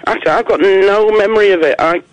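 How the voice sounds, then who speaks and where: A Northern English man with a scratchy voice, hoarse from a cold, chatting casually as a phone-in caller. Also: sits high, quick pace, no room sound, dull audio. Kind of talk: casual chat.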